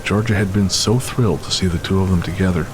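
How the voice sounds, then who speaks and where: A man narrating a scripted audio drama in a measured, literary storytelling manner. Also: deep voice, noisy background. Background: music and rain.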